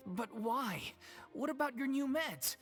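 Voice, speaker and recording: A woman voicing a candid, caring young troupe member in a video game, expressive and informal. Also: high-pitched, unhurried, faint music behind. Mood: alarmed. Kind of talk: video game character acting.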